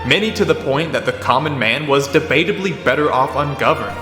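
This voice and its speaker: A man's voice: medium pitch, animated, fairly quick, echoey room, long reverb tail, music in the background, close to an everyday voice. A man playing a combative young debater who is smitten with his rival in a radio drama. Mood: combative, formal.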